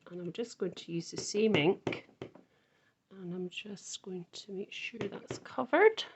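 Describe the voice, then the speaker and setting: Woman, croaky and slow-paced, casual crafting tutor who thinks out loud. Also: mid-range voice, a little hiss or hum. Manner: chatty, thinks aloud while working. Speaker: card-making crafter.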